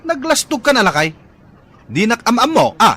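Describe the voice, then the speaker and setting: Cocky young tough guy in a radio comedy, sharp high shouty voice, always threatening. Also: sing-song, moderate pace, full character voice. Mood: belligerent. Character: swaggering, hot-tempered young muscleman picking fights. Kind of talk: comedic radio drama acting.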